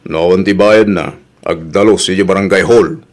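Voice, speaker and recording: A man's voice: low voice, unhurried. A man with an Ilocano Filipino accent playing a bossy, money-minded middle-aged village captain in a comedy audio drama. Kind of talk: comedy radio drama acting.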